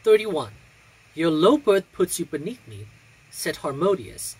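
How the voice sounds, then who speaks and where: Husky, higher-pitched theatrical man. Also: very animated, a little slow, darkish tone, rough recording. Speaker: drag performer and reader. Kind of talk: casual vlog chat and expressive poetry reading. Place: small room indoors. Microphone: phone on a stand a short distance away.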